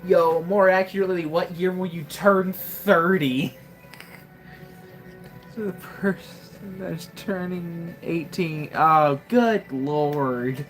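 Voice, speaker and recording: Low, slow-talking young woman streamer. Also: soft background music. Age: 20s. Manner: wry, joking, exclaiming to herself. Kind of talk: casual live gameplay commentary.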